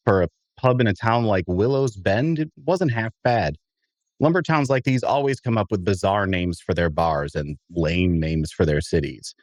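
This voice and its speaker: A wry adventurer narrates his own story in a fantasy audio drama, read by a man. His voice sits low but moves with lively inflection. He is observant and storytelling in manner. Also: no room sound.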